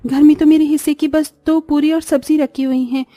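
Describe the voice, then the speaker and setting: A woman's voice: high voice, little pitch movement, a little fast, dark tone, no echo, clean studio sound, lightly acted. A woman playing a poor, self-sacrificing young mother in a cartoon story, earnest and plaintive. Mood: torn, worried. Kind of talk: Hindi cartoon story voice acting.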